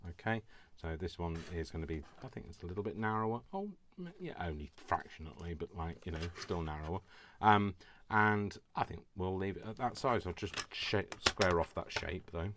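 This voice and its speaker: A very low-pitched man from the UK talks through a crafting tutorial in a casual, rambling way, tripping over his words and laughing at himself. His voice is a little hoarse, and there is faint noise behind him. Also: lively intonation, normal speed, a bit bright. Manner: rambling, self-deprecating, stumbles over words. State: slightly hoarse from allergies.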